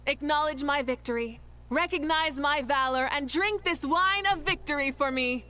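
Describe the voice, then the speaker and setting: A woman's voice: sits high, moderate pace, pushed and bright, soft background music. A woman voicing a proud, composed and gracious warrior ruler in a video game, with stately, assured speech.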